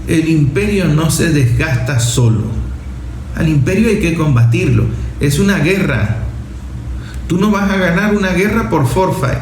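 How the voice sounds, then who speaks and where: A man's voice: a little high, lively intonation, unhurried, a little mellow. A man with a Venezuelan accent giving impassioned political commentary, insistent and repetitive, driving points home with rhetorical questions. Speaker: journalist and speaker. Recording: echoey room with faint noise.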